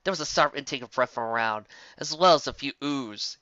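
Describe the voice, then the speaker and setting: An animated narrator reads a dramatic story with theatrical flair. The voice is bright and forceful with lively pitch swings, captured close in a dry, slightly dull recording. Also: a little fast, dry recording. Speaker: storyteller, fan fiction reader.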